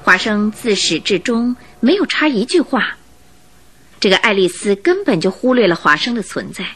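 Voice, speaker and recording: A woman reading scripted narration for a radio drama in an expressive storytelling manner. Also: mid-range voice, normal speed, breathy edge.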